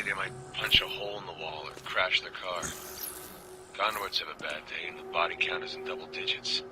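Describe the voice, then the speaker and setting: A male actor plays a world-weary, middle-aged agent turned secret informant in a video game audio log. His voice is deep and he speaks in a brooding, blunt, confessional way, dropping to a hush at phrase ends. Music plays behind him. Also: lightly acted. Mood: grim, resigned.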